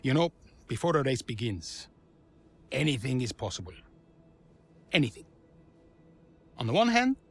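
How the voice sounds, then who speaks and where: A passionate underdog racing boss looking back in a staged interview. The delivery is unhurried and very expressive, with dramatic pauses. Music plays underneath. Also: male voice, medium pitch. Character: reflective, passionate male underdog team boss being interviewed. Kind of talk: documentary-style interview acting.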